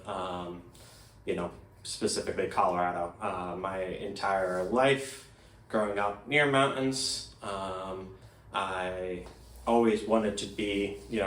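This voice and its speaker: Male, American, low-pitched, reflective and halting interviewee, recorded in a reverberant room. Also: fairly bright tone. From United States. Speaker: interviewee, migrant. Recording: echoey room, distant microphone.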